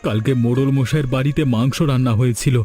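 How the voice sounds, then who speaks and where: A man's voice: medium pitch, steady tone, racing, warm tone, soft background music, natural voice. A man voicing a humble, poor farm labourer and devoted family man in a cartoon folk tale. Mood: plaintive, ashamed.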